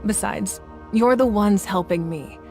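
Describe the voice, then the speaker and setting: A dignified female ruler in a fantasy game. Her mid-pitched voice is measured and commanding, with warmth and resolve, over faint music. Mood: warm, gracious. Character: composed, commanding female nation leader, warm and resolute. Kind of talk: anime video game voice acting.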